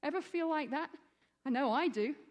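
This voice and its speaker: A woman who grew up in Egypt preaches with earnest conviction, often swelling into fervent emphasis. Her voice sits high, and it rings out with the long reverb of a large hall. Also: normal speed. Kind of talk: sermon.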